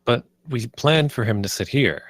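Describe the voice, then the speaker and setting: A man acts out an eager, nervous young designer at a script read-through. His voice sits at a medium pitch with very little room around it. He talks like a salesman who sometimes hesitates. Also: animated, moderate pace, no echo, clean studio sound, lightly acted. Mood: frustrated. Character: eager, nervous 27-year-old theme park designer pitching ideas.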